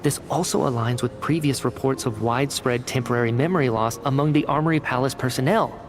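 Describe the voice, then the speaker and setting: An actor playing an earnest, analytical researcher in a video game, articulate and inquisitive, reasoning methodically. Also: male voice, medium pitch, fairly quick, close to an everyday voice. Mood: thoughtful. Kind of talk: video game dialogue acting. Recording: studio voice under clear game music. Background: background music.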